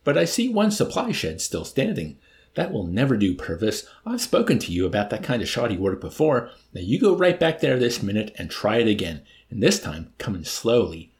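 A man reading an audiobook voicing a calm, scheming male officer who talks in a mildly reproving, chanting way. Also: fairly low pitch, normal speed.

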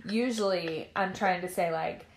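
A woman from Tennessee, hosting a casual chat, animated and emphatic. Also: on the low side, unhurried, reverberant, rough recording. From Nashville, Tennessee, US. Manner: animated, emphatic, warm affirmations.

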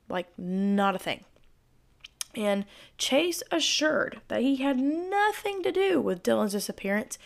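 A woman hosting a true crime podcast, her voice hoarse and giving out, narrating casually with chatty, speculative asides. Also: on the high side.

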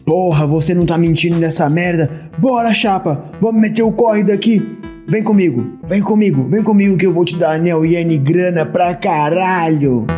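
A man's voice: high-pitched, normal speed, a little mellow, soft background music, close to an everyday voice. A man acting in an audio drama as a brash, foul-mouthed street mercenary who talks in swaggering slang. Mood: alarmed, excited.

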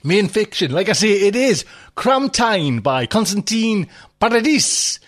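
Man, middle-aged, podcast host, chatty, rambling, animated. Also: sits high, measured pace. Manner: rambling, chatty, laughs often, swears. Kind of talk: casual chat.

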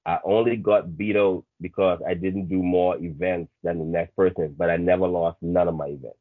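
Bahamian guy in his 30s, really low voice, casual chat, sounds like hes on the phone. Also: fairly even intonation, normal speed, no echo. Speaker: guest, speed coach. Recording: thin phone-like line.

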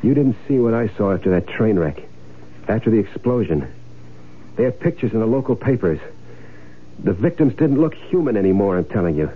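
A man's voice: on the low side, very animated, a little fast, husky. An actor in an old-time radio drama playing a tense, curt, scheming man in hiding. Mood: uneasy insistence. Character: tense, scheming man hiding out after faking his death. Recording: muffled, band-limited old radio recording, faint noise.

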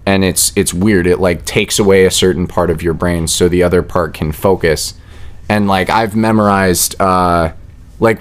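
A young man talking casually as a guest on a podcast. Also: sits low. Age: young adult.

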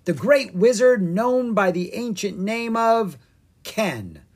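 A reader voicing a crusty, grumpy old male wizard in a storybook who snarls and shouts spells. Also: medium pitch, animated, slow pace, voice pushed up. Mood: pompous.

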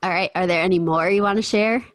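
A woman's voice: on the low side, quick pace, dry recording. A young woman hosting a podcast, asking questions in a playful, teasing way and laughing easily. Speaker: podcast host. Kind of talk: casual interview chat.

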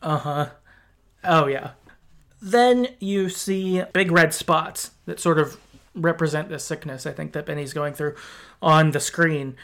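A man's voice: fairly high pitch, measured pace, fairly bright tone. A man in a casual podcast discussion, recapping a story in a conversational, hedging way. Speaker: podcast host.

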